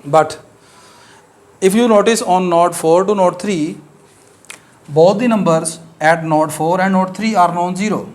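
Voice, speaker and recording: A man with an Urdu accent delivers a maths lecture in English, his voice a touch higher than most. He explains each step carefully and pauses often with short fillers as he works through the example.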